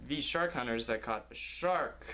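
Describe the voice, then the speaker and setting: A man's voice: mid-range voice, a little slow, pushed and bright. A young man improvising a comic story as its narrator, recorded indoors in a living room. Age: young adult.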